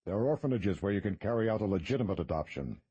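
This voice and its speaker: A man voicing a calm, steely priest who speaks quietly and with measured contempt. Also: fairly low pitch, slightly rough, no echo, highs cut off. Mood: cold.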